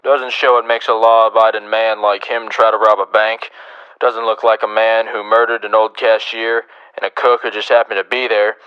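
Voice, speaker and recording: A man's voice: lowish voice, flat delivery, normal speed, clear, resonant tone, highs cut off, lightly acted. A man playing a stern, world-weary American marshal in a Western radio drama, terse and sardonic. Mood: dry, sardonic.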